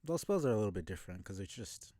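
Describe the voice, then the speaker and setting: A man with a low voice giving casual, informal live commentary as a video game streamer, thinking out loud. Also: big pitch swings, rapid-fire, no room sound, studio quality. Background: game music behind the voice.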